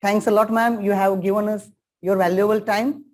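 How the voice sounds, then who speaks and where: A man's voice: sits high, flat delivery, moderate pace, a little mellow. An Indian man hosting a webinar over a video call. His speech is earnest and formal, with key phrases repeated for emphasis in the manner of a teacher addressing students.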